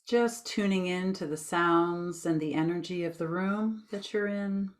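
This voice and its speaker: A woman leading a guided meditation with gentle, informal instructions in a soothing, unhurried manner. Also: on the low side.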